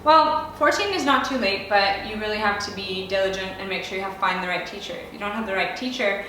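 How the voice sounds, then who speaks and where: A woman's voice: mid-range voice, fairly quick, bright, forceful tone, slight background noise. A young American woman, a ballet dancer, answering viewer questions in a chatty, upbeat way with lots of casual fillers. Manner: chatty and upbeat, with frequent "like" and "you know" fillers. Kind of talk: casual Q and A vlog. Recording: very echoey room, distant mic, music behind.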